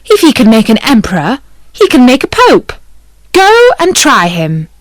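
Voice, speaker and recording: A woman's voice: fairly high pitch, wildly swooping pitch, moderate pace, no echo, studio quality, pitched above the performer's own voice. A woman voicing the greedy, imperious wife of a poor fisherman in a storybook reading, raising her voice to sound demanding. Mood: haughty. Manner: demanding, haughty.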